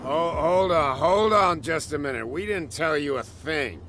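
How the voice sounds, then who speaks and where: A man voicing a gruff, suspicious island drunkard in a video game, grumbling about outsiders. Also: a little high, lively intonation, a little slow, fairly bright tone, slight background noise. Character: gruff, idle male island drunk, protective of his saint.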